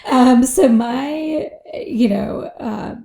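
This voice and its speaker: Woman, financial advisor, slightly high voice, reflective, tags "you know, right?", interview guest. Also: very slow, vocal fry, mellow. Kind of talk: casual interview answers.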